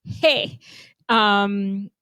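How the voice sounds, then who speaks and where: Animated young woman from zambia chatting on a podcast, thinks out loud, dry close mic. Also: medium pitch, very slow, clear, resonant tone, no room sound, rough recording. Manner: animated, thoughtful, laughs often. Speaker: podcast co-host. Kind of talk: casual conversation.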